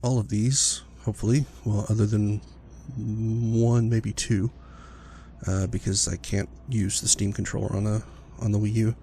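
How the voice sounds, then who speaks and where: Gaming host, male, rambling casual commentary; gravelly, dark voice with a lingering cold. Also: lowish voice, a little slow, slight background noise. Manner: rambling, filler-heavy, relaxed. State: recovering from illness, sniffly, clearing his throat. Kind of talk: casual let's-play commentary.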